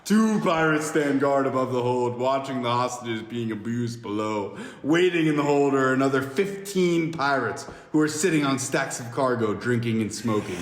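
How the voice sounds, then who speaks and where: A man's voice: medium pitch, expressive. Echoey man reading a screenplay aloud. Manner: halting, jokey reading with stumbles. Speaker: podcast host reading stage directions. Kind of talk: amateur screenplay table read. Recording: echoey reverberant room with faint hiss.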